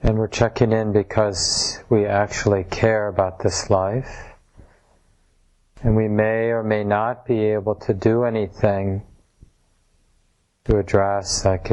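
A man with a fairly low, very even voice guides a meditation. He speaks in short, measured phrases and leaves space between them, teaching in a gently instructive way. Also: low-quality recording. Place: room at a retreat center.

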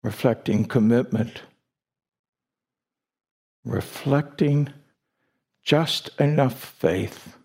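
A man leading a guided meditation as a teacher, speaking deliberately in short phrases with long pauses between them. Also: medium pitch, gravelly, darkish tone. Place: meditation retreat hall.

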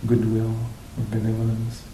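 A man teaching meditation to a group, speaking reflectively and off the cuff, with hesitant pauses between short phrases. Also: monotone, dark tone. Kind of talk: guided meditation talk, unscripted and reflective. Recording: roomy echo, faint noise with low rumble.

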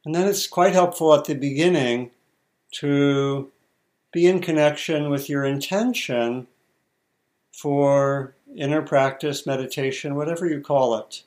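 Man guiding meditation in measured phrases. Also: mid-range voice, a little mellow. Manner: measured, halting delivery with deliberate pauses between short phrases. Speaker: meditation teacher. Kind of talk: guided meditation instruction.

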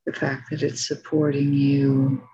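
A woman teaching meditation, giving calm, gentle spoken guidance with long pauses between phrases. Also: a bass voice, slightly rough, dull audio. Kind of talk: guided meditation, soft spoken instruction.

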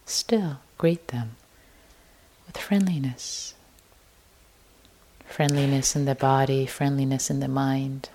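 A woman leading a guided meditation as a teacher, speaking gently in short, unhurried phrases. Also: extremely low pitch, steady tone, slight background noise.

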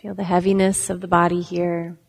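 A woman meditation teacher leading a guided meditation, giving gentle instructions with long pauses. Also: on the low side, flat delivery, creaky. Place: meditation center hall. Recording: reverberant hall.

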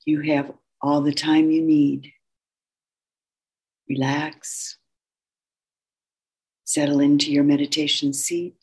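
Female meditation teacher: gentle, husky delivery, very even pitch, unhurried phrases with long pauses between them. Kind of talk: guided meditation instruction.

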